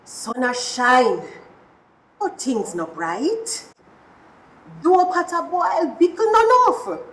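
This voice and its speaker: A woman's voice: sits high, very animated, slow, a bit bright. A woman with a Jamaican accent performing a rhythmic, dramatic recitation of a dialect poem.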